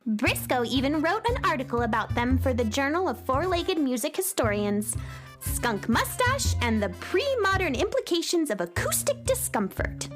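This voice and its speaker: Chirpy little space cowgirl, really high and bouncy, kids radio show, chatty, bit of music behind. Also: very animated, normal speed. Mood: proud, chatty. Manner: chatty, bubbly, girlish. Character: perky girl space cowgirl who wrangles lost sounds. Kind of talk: children's audio drama acting.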